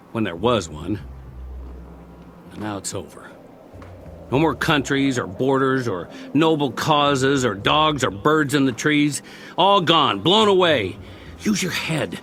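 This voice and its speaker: An actor playing a war-weary, talkative soldier in a radio drama, rambling and wry, lonely and eager to please, stammering when eager. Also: male voice, mid-range voice, a bit bright. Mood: weary, bitter.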